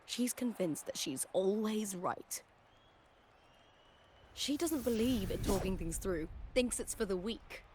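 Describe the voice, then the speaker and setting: A woman's voice: medium pitch, lively intonation, slow, noisy background. A woman voicing a fierce, confident young gladiator in a video game, bold and emphatic. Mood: confident, resolute. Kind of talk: heroic video game voice acting.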